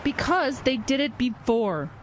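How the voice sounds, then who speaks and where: An actress playing a fretful, secretive friend in an audio drama, earnest and urgent. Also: female voice, high voice, lively intonation, measured pace. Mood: earnest, grave. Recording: faint background noise.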